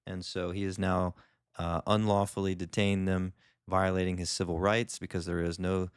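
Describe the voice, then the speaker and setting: A man doing a calm legal commentary voice-over, explaining each point in a measured way. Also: deep voice, clean tone, no echo, studio quality. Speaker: lawyer commentator.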